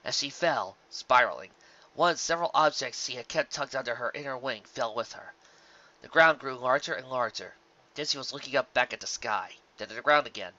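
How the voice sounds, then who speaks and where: Very bright, forceful male story narrator. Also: medium pitch, fairly quick, dull audio. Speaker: narrator reading fan fiction. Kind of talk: scripted dramatic story narration.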